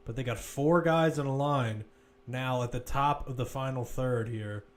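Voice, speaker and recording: A man giving live play-by-play football commentary as a commentator, measured but lifting when attacks build. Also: medium pitch.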